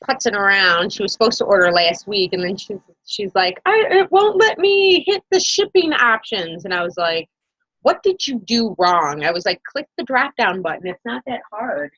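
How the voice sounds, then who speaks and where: Thirties woman, dry lo-fi call audio, lively storyteller. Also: mid-range voice, normal speed, no room sound. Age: 30s. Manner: animated storyteller, quotes herself and others. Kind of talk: casual group video call chat.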